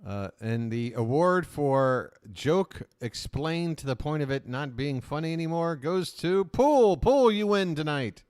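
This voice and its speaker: A man's voice: expressive, a little slow, few pauses, darkish tone, clear, resonant tone. A man hosting a live reaction stream, riffing sarcastically over a shopping broadcast and laughing often. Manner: sarcastic, jokey, laughs often, voice jumps high when mock-ranting.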